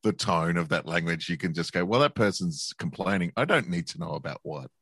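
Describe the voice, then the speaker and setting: Sex: man. Role: co-host. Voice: very deep, bright. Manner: musing, joking. Sound: dry. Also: expressive, a little fast, breathy edge, dry recording. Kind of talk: casual chat.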